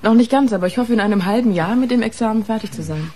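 A woman's voice: on the high side, fast talker, warm tone, husky. An actress playing a guarded, grieving young woman in a naturalistic radio crime drama. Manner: guarded, hesitant. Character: guarded, grieving sister of a dead policeman. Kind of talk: naturalistic radio play acting.

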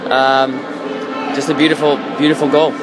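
A man giving color commentary on a hockey goal in a flat, admiring way. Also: medium pitch, normal speed, over music, crowd noise, low-quality recording. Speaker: color analyst.

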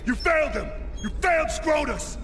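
An actor playing a hostile male wasteland guard who shouts and barks orders in a video game. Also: very high voice, animated, normal speed. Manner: shouting, abusive, barking orders.

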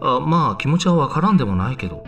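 A young man playing a flustered, flippant high school boy in an amateur voice drama, casual, sceptical and given to exclaiming. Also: sing-song, fast talker, fairly bright tone, distant mic, soft background music.

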